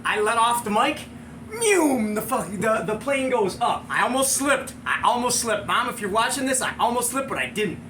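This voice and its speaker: High-voiced American man telling animated stories over noise. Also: moderate pace. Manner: excitable, slangy, chuckling storyteller. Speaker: show host and storyteller. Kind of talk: animated casual anecdote, with acted-out sound effects.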